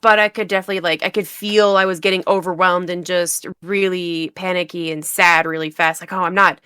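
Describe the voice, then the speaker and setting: Woman, interview guest, giggly, says "like" a lot, bright, smooth voice. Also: lowish voice, steady tone, fairly quick, no room sound, clean studio sound. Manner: giggly, fills her speech with "like". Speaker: guest, VTuber. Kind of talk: casual interview chat.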